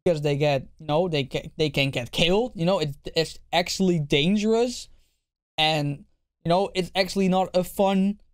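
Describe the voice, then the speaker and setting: A male streamer reacting to a clip with a dark, mellow tone and blunt, swear-heavy talk. He repeats himself, and his voice sounds worn out. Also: fairly high pitch. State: losing his voice. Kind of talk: casual reaction commentary.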